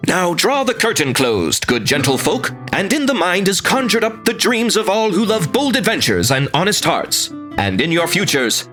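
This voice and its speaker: A man's voice: sits high, sharp tone, full character voice. A man playing a patient, kindly announcer and narrator in a scripted comic audio drama. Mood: grand.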